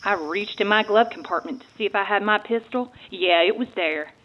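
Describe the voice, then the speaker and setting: A woman's voice: mid-range voice, fairly quick, a bit bright, slight background noise, lightly acted. A woman acting out a folksy Texan lady private eye on a monster hunt. She tells her own story earnestly, like a memoir read aloud for drama. The recording is slightly muffled and lo-fi. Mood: earnest, resolute. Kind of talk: dramatic reading of a first-person memoir.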